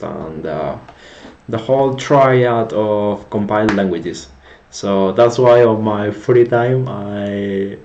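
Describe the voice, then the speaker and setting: A man's voice: fairly low pitch, a little mellow, lo-fi sound. A young man from Spain with a Spanish accent, chatting casually on a live stream and restarting his words often. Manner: rambling, many restarts and repeated words, laughs often. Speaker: streamer, backend developer.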